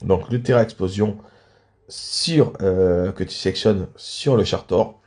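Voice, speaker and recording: Mellow, low-voiced man commentating, slightly congested. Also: slow pace. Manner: frequent throat clearing and coughing. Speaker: commentator and video host. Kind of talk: casual match commentary of a video game.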